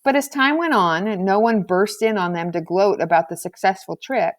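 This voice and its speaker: A woman reading a children's novel aloud as scripted narration, in an expressive storybook manner. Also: medium pitch, normal speed. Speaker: audiobook reader.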